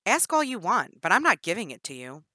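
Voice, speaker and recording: A woman voicing a defiant captive young woman who answers with sharp, scornful retorts. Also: medium pitch, big pitch swings, brisk, no room sound, clean studio sound, voice pushed up.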